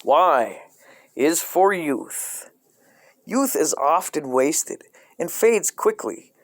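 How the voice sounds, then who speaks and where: A man reading a dark comic coloring book aloud in a deadpan way, breaking off into profane asides. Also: on the high side, drawn-out words, no echo.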